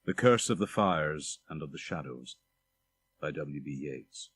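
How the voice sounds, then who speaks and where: A man narrating a literary short story for an audiobook in a husky voice. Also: rumbling low, expressive, unhurried, fairly bright tone, dry recording, clean studio sound.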